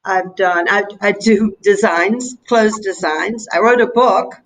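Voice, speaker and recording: An older woman in her seventies speaks with a Southern US accent. She talks reflectively and a little haltingly, telling personal anecdotes in a casual interview. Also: mid-range voice, slight background noise. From North Carolina, USA. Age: 70s. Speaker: interview guest, painter.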